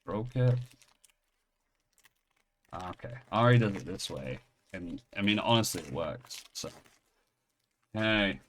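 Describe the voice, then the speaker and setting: Low-voiced Englishman with a half-American lilt. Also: lively intonation, takes it slowly, long pauses, slight background noise. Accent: English, partly Americanized. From England. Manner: rambling, self-mocking, restarts often. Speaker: pack-opening channel host. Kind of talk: casual storytelling and card unboxing.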